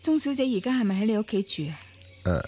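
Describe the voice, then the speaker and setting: An actress with a Hong Kong accent playing a composed, perceptive young woman in a radio drama, speaking in a measured, probing way. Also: mid-range voice, big pitch swings, dark tone, a little hiss or hum, lightly acted. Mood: calm, probing. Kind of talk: Cantonese radio drama acting.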